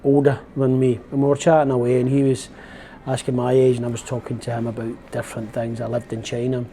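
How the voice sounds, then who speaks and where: A man's voice: mid-range voice, completely flat delivery, fast, mellow, a little hiss or hum. Chatty Glaswegian man in his fifties. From Scotland. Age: 50s. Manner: rambling, chatty, self-correcting. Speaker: vlogger. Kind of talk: casual reflective vlog. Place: outdoors on a windy open-top bus tour.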